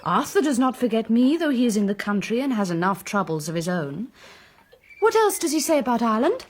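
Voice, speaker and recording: An actress playing a fond, wistful older gentlewoman in a period radio drama, husky and affectionate. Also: fairly high pitch, sing-song, fairly quick.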